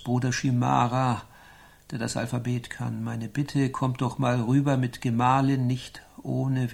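Heiserer, förmlicher Knappe. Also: male voice, medium pitch, gravelly. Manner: stilted, archaic, deadpan. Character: dour, formal medieval knight's servant giving reports. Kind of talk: radio play acting.

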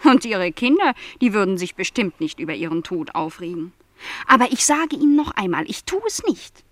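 A young housemaid in a radio drama. She is lonely and quietly bitter, choosing her words deliberately and stubbornly holding to her lot. The sound is dry, with hardly any room around the voice. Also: mid-range voice, big pitch swings, no echo. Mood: bitter, insistent. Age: young adult. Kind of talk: radio play dialogue.

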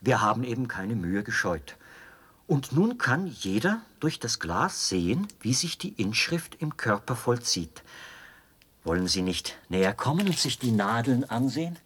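An actor in a German radio play as a zealous, meticulous officer who explains a machine with pedantic pride. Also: male voice, mid-range voice, very animated, measured pace, a bit bright, husky, exaggerated voice. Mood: pedantic, proud.

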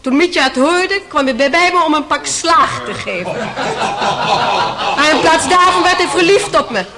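An actress playing a defiant, indignant young Russian mistress on the witness stand in a radio play, blunt and accusing. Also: female voice, high voice, expressive, normal speed, very noisy. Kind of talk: radio play courtroom acting.

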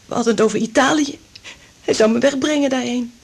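A woman's voice: sits high, a little fast, gravelly, breathy edge, exaggerated voice. An actress playing a stubborn, defiant and upset woman, an older man's girlfriend, in a radio drama. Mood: shaken. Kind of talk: naturalistic radio drama acting.

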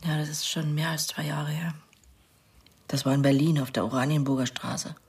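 An actress playing a middle-aged sister in a naturalistic radio crime drama, giving a plain, hesitant, colloquial account. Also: female voice, deep voice, fairly even intonation, moderate pace, vocal fry, darkish tone. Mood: reflective. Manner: hesitant, matter-of-fact, colloquial.

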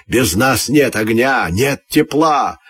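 A man's voice: medium pitch, expressive, slow pace, a bit bright, breathy edge, heavily acted. A man voicing boastful, indignant burning logs in a children's audio fairy tale, in a bragging, exclamatory way. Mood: indignant boasting.

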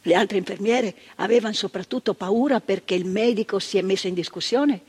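An older actress playing a frank, earnest former psychiatric nurse in a stage monologue. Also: female voice, mid-range voice, brisk, a little mellow, slightly rough. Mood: earnest recollection. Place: theatre stage.

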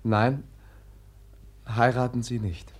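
A gentle prince in a 1950s radio play. He speaks slowly and hesitantly in a soft, husky voice of medium pitch, with plain sincerity. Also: little pitch movement. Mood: gentle, earnest.

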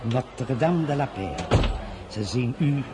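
An actor playing a respectful male servant who speaks slowly. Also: mid-range voice, expressive, very noisy.

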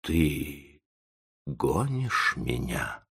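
Male narrator as elderly professor, creaky, slow, kindly but wounded, plaintive questioning, widely varied pitch, storybook drama. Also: big pitch swings, fairly bright tone, full character voice. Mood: stunned, plaintive. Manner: halting, plaintive, rhetorical questions. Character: kindly, wounded old professor, seventy, emotional.

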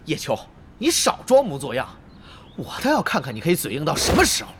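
A man's voice: on the high side, huge pitch swings, fast, background noise. A man voicing an arrogant young pro gamer in a radio drama, sneering and dismissive toward a rival. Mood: scornful. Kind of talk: studio radio drama acting. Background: background music.

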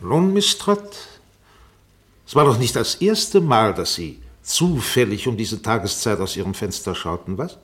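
An actor playing a sharp, sarcastic defense barrister in a radio courtroom drama, pressing, insinuating and commanding. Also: male voice, on the low side, big pitch swings, measured pace. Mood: controlled, probing. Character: sharp, sarcastic male defense barrister cross-examining a witness.

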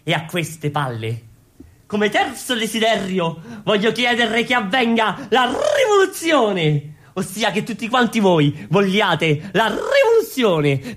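A man's voice: sits high, a bit bright, full character voice. A man playing a hapless, earnest, clownish revolutionary in theatrical comic radio storytelling, exclamatory and repetitive. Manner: exclamatory, repetitive, pleading with the listeners. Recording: music under the voice.

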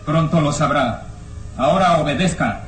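An actor playing a stern, suspicious armed police inspector in an old radio serial, barking orders. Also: male voice, on the high side, normal speed, faint music behind, natural voice. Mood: threatening.

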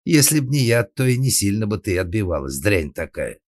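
A man's voice: low-pitched, expressive, moderate pace, no room sound, studio quality. A man voicing a jealous middle-aged wife in a comic audiobook, shrieking, hissing and scolding. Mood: shrieking rage. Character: shrill jealous middle-aged wife, furious mistress of the house.

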